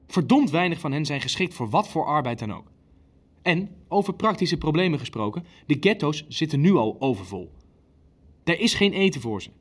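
An actor playing an impatient, ruthless Nazi official in a radio play, insistent and coldly matter-of-fact. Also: male voice, a little high, sing-song, normal speed. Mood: insistent, harsh. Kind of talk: dramatic dialogue in a radio play.

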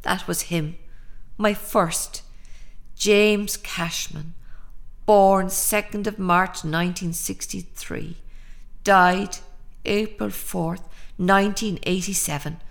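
An actress playing an anxious, prim middle-aged Irish mother with a secret, in an audio drama, speaking in a halting, deliberate way. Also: fairly low pitch, steady tone, creaky. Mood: grim confession.

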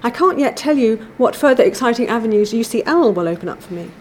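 A woman giving a measured, formal academic lecture from a prepared text. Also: on the high side, expressive, a little mellow, a little hiss or hum. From England.

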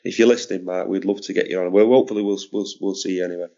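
A man with a hoarse, fading voice talks through a podcast in a casual, stop-start way. His voice sits on the low side, and the recording is dull and muffled. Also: steady tone, dark tone. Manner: halting, repeats words, chuckles. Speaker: podcast co-host. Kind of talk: casual sports chat.

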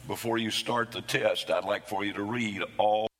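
A man preaching a sermon, his voice hoarse from losing it between services, with a folksy, self-deprecating humor. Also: fairly low pitch, steady tone, normal speed, a bit bright, big-room sound. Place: church sanctuary.

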